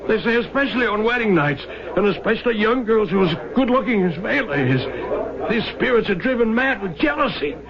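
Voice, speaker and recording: An actor playing a timid, anxious young bridegroom in an old-time radio drama, hesitant and stammering. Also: male voice, sits high, animated, a little fast, gravelly, crowd noise. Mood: panicked, distraught. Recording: dull lo-fi 1970s radio broadcast.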